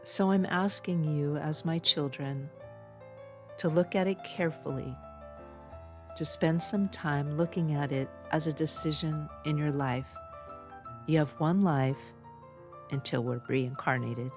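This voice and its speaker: A woman's voice: sits low, fairly even intonation, unhurried, soft background music. A middle-aged woman reading a personal letter aloud in an earnest, heartfelt manner. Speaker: podcast host.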